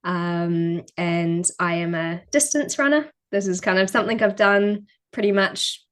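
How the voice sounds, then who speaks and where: Modest young New woman. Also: on the low side. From New Zealand. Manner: relaxed, modest, frequent ums and likes. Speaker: podcast guest, runner. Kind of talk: casual interview chat.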